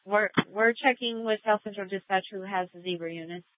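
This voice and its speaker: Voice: medium pitch, a little fast, no room sound. A police dispatcher giving procedural updates over a scanner radio with narrow, phone-like sound.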